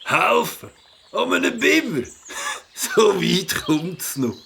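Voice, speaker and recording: Gruff Swiss German biker bully for a kids' audio play: boastful, forceful, gravelly drawl. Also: male voice, high-pitched, very animated, takes it slowly, a little hiss or hum, heavily acted. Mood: mocking. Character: gruff, mocking adult rider of a rattly moped. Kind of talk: children's audio drama.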